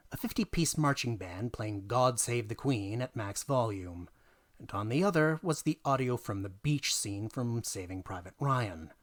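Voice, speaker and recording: A man narrating a dramatic reading of a tabletop gaming story, theatrical and emphatic, pausing for effect. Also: mid-range voice, fairly bright tone.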